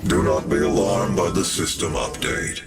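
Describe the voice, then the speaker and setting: Super deep robotic game cyborg boss, formal and cold, loud music behind him. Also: male voice, distant mic. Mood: calm, explanatory. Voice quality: deep, gravelly, synthetic. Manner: measured, formal, authoritative. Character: towering cyborg corporate chief, grave, coldly composed scientist. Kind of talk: video game cutscene acting.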